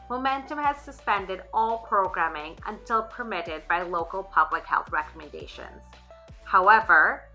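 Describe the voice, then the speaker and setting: A woman's voice: medium pitch, sharp tone. A woman reading a scripted sponsor message in a polished promotional way. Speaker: sponsor announcer. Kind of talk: read sponsor ad.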